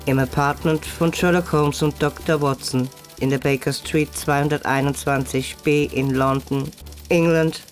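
A man's voice: fairly high pitch, fairly even intonation, moderate pace, faint music behind. A man giving a scripted scene-setting announcement as an audio drama announcer.

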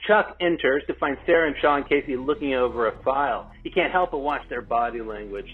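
A man's voice: mid-range voice, a little fast, husky, soft background music. A man co-hosting, reading out scripted introductions and narration. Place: remote video call. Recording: tinny, phone-like video call audio.

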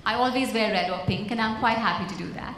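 A woman banker on a conference panel with a naturally soft voice, wry and good-humored. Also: fairly high pitch, fast talker. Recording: echoey hall with faint noise.

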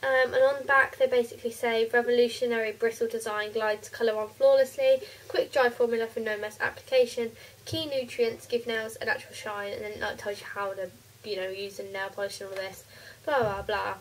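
A teenage girl with a cold and a croaky, stuffy voice, chatting casually through a makeup haul in her bedroom, with lots of ums. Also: on the high side, little pitch movement, brisk, distant mic, a little hiss or hum, low-quality recording. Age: teens. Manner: chatty, rambling with many ums. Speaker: beauty vlogger. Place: bedroom, indoors.

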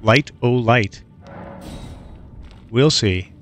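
A man's voice: medium pitch, very slow, soft background music. A man casually commenting to himself while playing a video game, easygoing and muttering with plenty of fillers. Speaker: let's play gamer.

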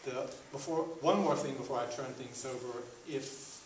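A man's voice: medium pitch, moderate pace. A middle-aged man hosting an event, giving introductions to a live audience in a meeting room. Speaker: event host introducing panelists. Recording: echoey room from far off, clear noise.

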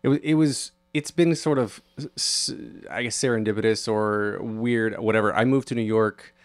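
A man's voice: on the low side, fairly even intonation, normal speed, no echo. A man hosting a theatre podcast, friendly and reflective, often restarting his phrases. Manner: friendly, self-reflective, repeats words when starting. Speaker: podcast host. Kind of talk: casual interview chat.

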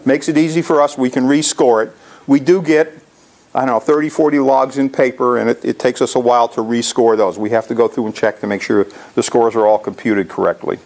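A man's voice: mid-range voice, fairly even intonation, fairly quick, creaky, darkish tone. Older American man giving an informal presentation. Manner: casual, explanatory. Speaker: contest organizer presenting to a club. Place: lecture hall, indoors.

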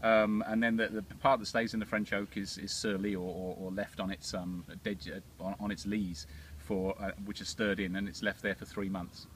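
Halting winemaker man outdoors. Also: on the low side, level tone, slight background noise. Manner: halting, many restarts. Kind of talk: casual interview. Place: outdoor winery patio.

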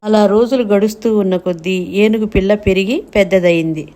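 A woman narrates a children's tale from a script. Her voice is medium in pitch and dark, soft-edged in tone, with music playing behind. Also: moderate pace.

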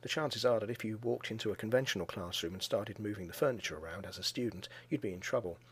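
A man, a school educator, giving a measured conference talk over slides and video, often pausing with "uh". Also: on the low side, a bit bright, slight background noise. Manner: measured and explanatory, with frequent "uh" pauses.

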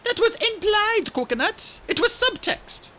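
A man's voice: extremely high pitch, very animated, measured pace, bright, forceful tone, voice pushed up, full character voice. A man voicing a bubbly, bold and chatty young female dragon in a fantasy storybook.

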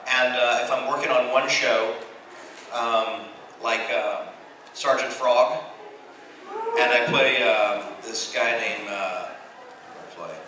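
A man's voice: medium pitch, slow, long pauses. A middle-aged American man, a voice actor telling casual anecdotes on a convention panel and acting out the exchanges he quotes. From United States. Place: large convention hall, on stage. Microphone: handheld mic through PA, filmed from audience. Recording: echoey hall from far off, noisy.

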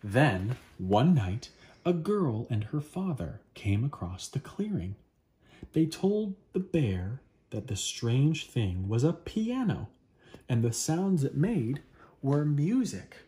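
A man in his thirties reading a children's picture book aloud expressively, with dramatic, deliberate pauses. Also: mid-range voice, sing-song, dark tone. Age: 30s. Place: small living room at home, indoors.

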